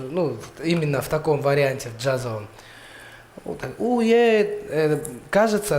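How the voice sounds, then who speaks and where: A man from Kyrgyzstan giving a talent show critique, halting as he searches for words. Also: fairly high pitch. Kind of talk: spoken critique.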